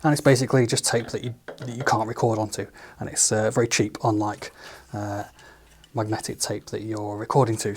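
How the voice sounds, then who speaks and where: A man giving a relaxed, methodical hands-on tutorial as a studio engineer, walking through each step with the odd filler. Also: lowish voice. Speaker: studio engineer demonstrating equipment.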